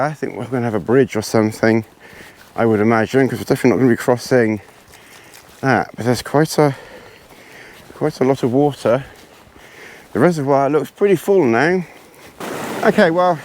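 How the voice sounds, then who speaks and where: A man with a hoarse, congested voice talks unhurriedly to his camera as he walks across open hills. His speech is rambling and self-deprecating, full of restarts, and his pitch sits at an ordinary middle level. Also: background noise. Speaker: vlogger. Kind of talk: casual chat while hiking. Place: outdoors on windy hills.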